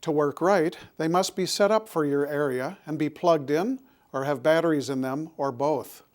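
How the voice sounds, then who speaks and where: A man presenting scripted public safety information in a deliberate, instructional manner. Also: fairly high pitch.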